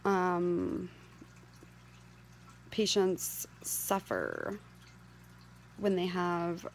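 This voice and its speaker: A middle-aged woman speaks in a hoarse voice that sits a little low. She talks candidly and earnestly to the camera, like a patient advocate sharing personal testimony. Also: slight background noise. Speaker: patient advocate sharing her medical story.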